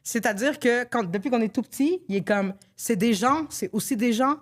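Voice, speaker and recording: A woman, hosting a podcast and telling lively stories peppered with slang and English words. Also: fairly high pitch, moderate pace. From Morocco, raised in Montreal. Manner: animated storyteller, Quebec slang and English words. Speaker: podcast host. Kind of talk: casual chat.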